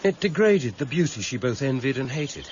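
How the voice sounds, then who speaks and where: An actor playing a weary, measured senior police detective with quiet authority and an English accent, in a radio drama. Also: male voice, medium pitch, big pitch swings, close to an everyday voice. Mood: weary, reflective. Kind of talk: 1990s British radio drama acting. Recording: muffled, noisy old radio broadcast recording.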